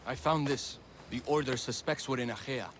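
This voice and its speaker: A man's voice: medium pitch, steady tone, moderate pace, noisy background, lightly acted. A man playing an earnest young Persian companion in a video game, speaking with urgency. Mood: urgent, earnest. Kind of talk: video game cutscene acting.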